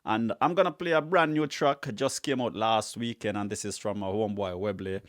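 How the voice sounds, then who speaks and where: A middle-aged man with a Jamaican accent hosting a music show, casually introducing tracks and giving shout-outs in a conversational, slangy way. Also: fairly low pitch, moderate pace, dry recording, studio quality. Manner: conversational, repeats slang affirmations.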